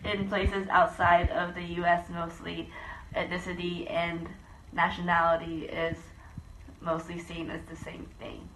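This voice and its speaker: Young woman, Philippines-born and US-raised, lowish voice, hesitant and thoughtful, light background hiss. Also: completely flat delivery, measured pace, fairly bright tone, roomy sound, low-quality recording. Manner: thoughtful, hesitant with many ums. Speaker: student abroad. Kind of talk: interview testimony.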